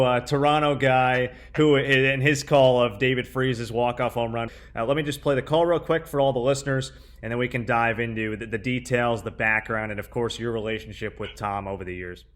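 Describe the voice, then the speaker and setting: A man's voice: medium pitch, fairly even intonation, moderate pace, few pauses, fairly bright tone. A young man hosting a conversational sports interview. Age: young adult. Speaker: interview host, sports broadcaster.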